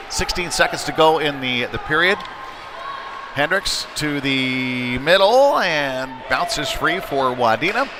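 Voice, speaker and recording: A man gives live hockey play-by-play over clear rink noise, at an ordinary mid pitch. His delivery is conversational and halting, with uhs scattered through the calls as he follows the puck. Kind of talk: live sports play-by-play commentary. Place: indoor ice rink. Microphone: wired broadcast microphone.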